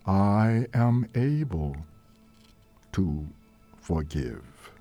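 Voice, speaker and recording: A man guides a meditation with a soft-edged, mellow tone and very slow pacing. His phrases are gentle and measured, separated by long pauses, and his pitch moves expressively up and down. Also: big pitch swings. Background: soft meditation music.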